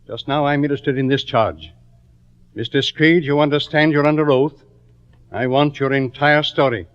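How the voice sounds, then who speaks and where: A man's voice: medium pitch, clear, resonant tone, lightly acted. An actor in an old-time radio drama playing a stern, formal male judge with an authoritative manner. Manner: formal, authoritative, brisk questioning. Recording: dull vintage radio recording.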